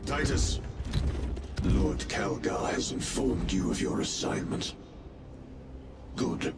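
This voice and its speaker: An actor playing a stern, suspicious warrior chaplain in a video game cinematic, with a deliberate, menacing and formal delivery. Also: male voice, deep voice, sing-song, creaky, noisy background, full character voice. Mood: cold warning. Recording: roomy, distant, loud cinematic music behind.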